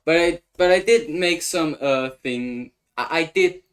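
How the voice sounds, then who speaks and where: A man's voice: on the high side, a little slow, fairly bright tone. A young man with a Danish accent, a native Danish speaker, hosting a casual explainer of his invented language. He talks hesitantly and thinks aloud as he translates on the fly. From Denmark.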